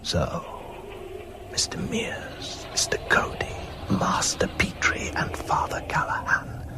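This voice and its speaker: A man's voice: in a whisper, over music, close to an everyday voice. An actor playing an ancient, cunning male vampire in a radio horror drama, suave, gloating and mockingly courteous. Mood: sinister. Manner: theatrical, slow, mockingly courteous.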